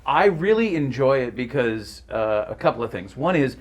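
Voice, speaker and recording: A man's voice: medium pitch, sing-song, moderate pace. A man on a casual panel, telling things in a jokey, conversational way. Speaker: voice actor, panelist.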